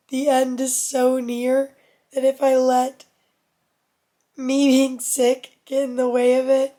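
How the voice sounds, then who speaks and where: A young adult woman chatting casually to her vlog camera, hoarse from losing her voice, with lots of "like" fillers. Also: sits high, warm tone. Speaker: vlogger.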